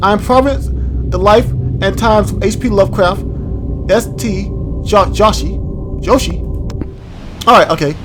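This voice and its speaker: A man's voice: high voice, unhurried, long pauses, music in the background. A man hosting a gaming channel, chatting and rambling casually as he reacts aloud to a game. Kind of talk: casual let's-play commentary.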